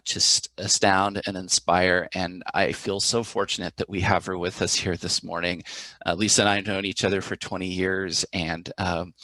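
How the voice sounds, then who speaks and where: Man, very low pitch, crisp dry sound, formal host introduction, reads credentials. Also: dry recording, low-quality recording. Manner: reads credentials steadily, then speaks warmly and personally.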